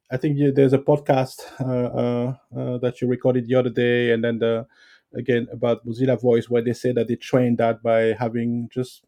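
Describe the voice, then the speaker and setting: A man with a French accent answering interview questions, pausing often with fillers and restarts. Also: medium pitch, warm tone. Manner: hesitant, many fillers and restarts. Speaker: guest expert.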